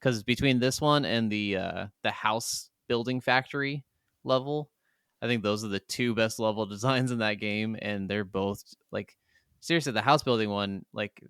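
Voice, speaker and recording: Smooth, low-voiced man chatting about games. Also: moderate pace, a bit bright, no room sound, clean studio sound. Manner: enthusiastic, emphatic, frequent swearing. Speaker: podcast co-host. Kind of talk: casual video game discussion.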